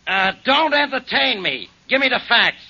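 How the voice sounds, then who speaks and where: An actor playing a grumbling, sarcastic police inspector in a 1940s radio drama. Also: male voice, very high-pitched, sing-song, normal speed, pushed and bright, husky, exaggerated voice. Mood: impatient. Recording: dull old radio recording with hiss.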